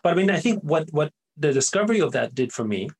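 Gravelly, husky middle-aged man. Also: a little high, animated, fast talker. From Austria. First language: German. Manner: rambling, tags sentences with "right?", frequent fillers and restarts. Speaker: guest entrepreneur. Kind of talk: casual interview talk.

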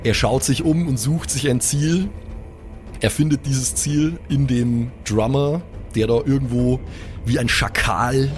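A man's voice: mid-range voice, darkish tone. Man narrating a tabletop game. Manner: vivid, descriptive storytelling with colloquial asides. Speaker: game master.

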